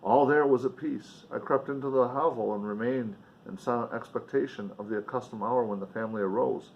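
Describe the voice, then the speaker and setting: A man's voice: medium pitch, brisk, muffled recording. A man reading a novel aloud in a dramatic, emphatic way, with casual offhand commentary along the way. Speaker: reader and commentator.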